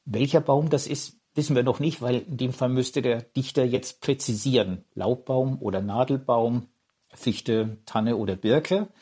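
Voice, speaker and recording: Man, expert interviewee, reflective, husky, mellow. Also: mid-range voice, unhurried. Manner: reflective, searching for words. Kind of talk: spontaneous explanation.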